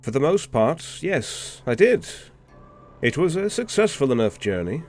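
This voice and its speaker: An actor playing a distinguished, learned gentleman who speaks in a formal, measured, polite manner with a gravelly creak. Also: on the high side, very animated, soft background music.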